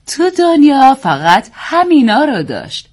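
A woman voicing a prim nanny who slowly recites a nursery rhyme in a sing-song way, as part of a story reading. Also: high-pitched, very animated, a little mellow, voice pushed up. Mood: reciting.

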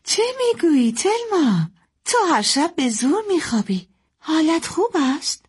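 Woman acting a tender, high-voiced mother. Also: very animated, slightly rough, heavily acted. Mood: worried. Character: caring, gentle mother in an old Arabian household.

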